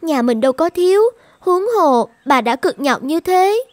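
A woman voicing a practical young granddaughter in an animated folktale, complaining and questioning. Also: sits high, measured pace, no room sound. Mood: grumbling.

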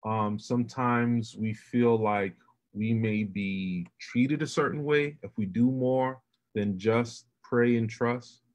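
A man's voice: medium pitch, slow pace. A man hosting an interview over a video call, earnest and affirming as he asks open questions. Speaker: host. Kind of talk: conversational interview.

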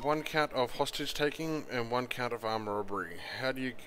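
A man's voice: medium pitch, normal speed, fairly bright tone, a little hiss or hum, rough recording. A man improvising as a police officer in an online role-play, talking in a hesitant, matter-of-fact, casual way. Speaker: streamer role-playing a police chief.

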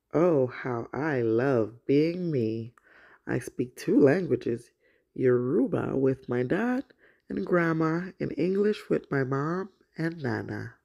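A woman, around her thirties, speaking as the host of a children's story time, in an expressive storytelling manner. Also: very deep voice, vocal fry, dull audio. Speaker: host of a children's read-aloud show. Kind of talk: children's story time, picture book read aloud.

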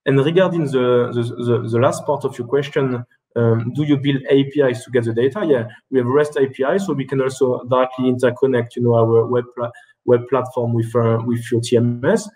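A man in his thirties presents over a video call from an indoor room. His voice sits at an ordinary middle pitch, and he talks haltingly with many restarts and fillers. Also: fairly even intonation, a little mellow, poor audio. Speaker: keynote speaker. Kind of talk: webinar presentation and Q&A answers.

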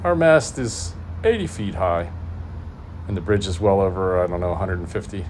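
A man in his fifties narrating a sailing vlog in a relaxed, wry, understated way. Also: deep voice, moderate pace, slight background noise. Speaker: sailing vlogger. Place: sailboat deck outdoors.

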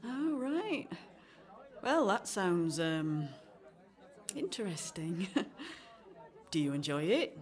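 A chatty, self-deprecating woman in her thirties played by an actress in a radio comedy play. She is awkward and eager, with a lively, swooping pitch and an unhurried pace. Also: a faint crowd, exaggerated voice. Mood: awkwardly cheerful. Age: 30s. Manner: awkward, eager, nervously giggly. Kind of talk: radio play acting, conversational.

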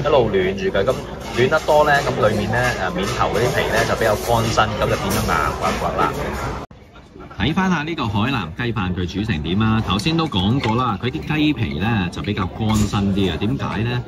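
A man with a fairly low voice talks through a meal in a casual review voice-over. His pitch rises and falls a lot as he muses out loud, questioning and mildly critical of what he eats. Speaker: food reviewer.

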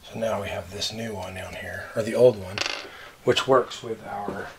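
A man's voice: fairly low pitch, steady tone, moderate pace. Middle-aged man, noisy handheld recording. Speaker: DIY bus builder. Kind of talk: casual hands-on chat while working. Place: small converted bus interior. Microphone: camera mic from a short distance. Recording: noisy handheld camera audio with clatter.

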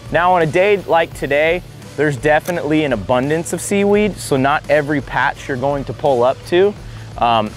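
A man in his thirties, a fishing vlogger, talking casually and excitedly on camera from a small boat on the open ocean, recorded on a boat-mounted camera. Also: medium pitch. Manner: excited, breaks into whoops and laughs while fighting fish.

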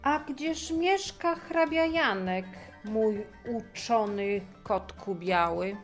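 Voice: mid-range voice, slow pace, soft background music. A woman playing a food-loving, gracious and imperious king with stately rhymed speech in a children's audio fairy tale. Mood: curious.

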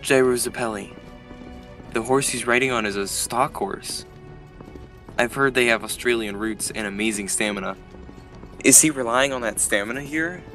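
Guy voicing a brooding racer sizing up his rival, mid pitch, music and hoofbeats behind. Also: moderate pace, long pauses, natural voice. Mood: tense, doubting. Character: intense male rival jockey sizing up an opponent. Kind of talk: dramatic inner monologue, manga fandub.

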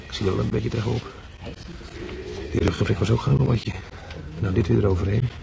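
Radio play role: wry, resigned man in hospital, lowish creaky voice, clearly noisy background. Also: fairly even intonation, brisk. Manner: colloquial, hesitant, wry. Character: resigned male hospital patient talking to visitor. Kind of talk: Dutch radio drama acting. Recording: noisy recording with background ambience.

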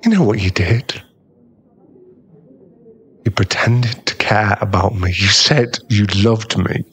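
A man acts out a heartbroken young male bully in an ASMR boyfriend roleplay. His voice is low and husky, and he speaks slowly. He is scornful and bitter, gruffly protective underneath. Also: a bit bright, exaggerated voice. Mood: bitter, angry. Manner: aggrieved, swearing, scornful, dropping into breathy muttering.

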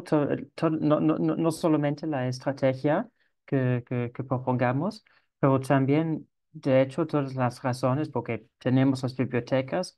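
A man moderating an online consultation session over a video call, speaking haltingly with frequent restarts. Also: mid-range voice, vocal fry, darkish tone.

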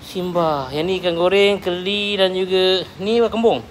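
Casting: inquisitive Malaysian food vlogger, medium-pitched voice, casual chatty commentary over light cafe noise. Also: steady tone, normal speed. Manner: chatty, inquisitive, repeats answers back. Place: walking outside and inside a roadside cafe. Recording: noisy handheld recording with cafe ambience.

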